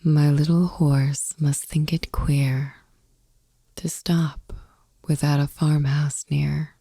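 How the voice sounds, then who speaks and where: A woman reading poetry as a sleep ASMR podcast host in a soft, breathy whisper, slow and soothing, close to the microphone. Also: deep voice, flat delivery, no echo.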